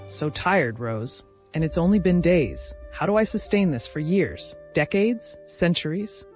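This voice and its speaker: A man's voice: fairly high pitch, expressive, a little slow, faint music behind, pitched above the performer's own voice. A man voicing an exhausted, brooding but resolute warrior in a fantasy story, serious and weary.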